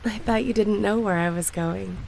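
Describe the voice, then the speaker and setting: An actress playing a gracious, wistful dead stage star, gentle and earnest in an audio drama. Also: female voice, medium pitch, normal speed, vocal fry, a little hiss or hum, lightly acted, rough recording. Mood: bittersweet.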